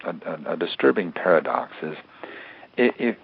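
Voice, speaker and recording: An American man giving analytical answers as an expert interview guest, repeating words haltingly as he builds his points. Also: sits low, expressive, vocal fry, breathy edge. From United States. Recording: narrowband phone-like radio interview line.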